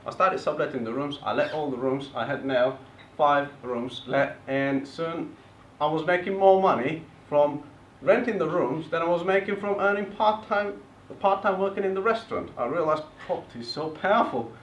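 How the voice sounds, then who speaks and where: A man's voice: fairly high pitch, moderate pace, a little hiss or hum. A young man with a Bulgarian accent giving a public talk about his own story, earnest, with some broken grammar. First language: Turkish. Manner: earnest, plain speech, sometimes broken grammar. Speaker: presenter. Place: hotel function room. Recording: far-off, echoey, dull room recording.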